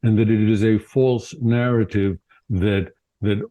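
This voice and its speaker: A man's voice: fairly low pitch, fairly even intonation, unhurried, dark tone. A man making a spoken interview statement as a rally participant.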